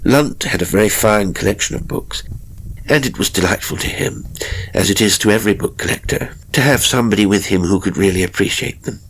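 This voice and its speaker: Man playing anxious timid novelist, low husky voice, measured theatrical telling, horror audiobook. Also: fairly bright tone, poor audio. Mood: uneasy. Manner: measured, theatrical, hesitant pauses. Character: timid, anxious male novelist recounting a haunting. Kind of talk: dramatic first-person horror audiobook narration.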